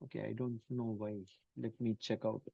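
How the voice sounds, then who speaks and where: A man leading an online scripture study class. He chants verses in Sanskrit, then explains them in English in a halting way, with many fillers and restarts. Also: on the low side, little pitch movement, creaky, slightly rough, dry recording. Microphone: computer mic on an online call. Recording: dull, dry lo-fi call audio.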